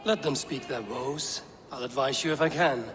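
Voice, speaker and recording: A man playing a blunt, steely Viking warrior in a game cutscene, with measured, terse, formal lines. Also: medium pitch, big-room sound, a crowd in the background, lightly acted. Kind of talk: dramatic game cutscene acting.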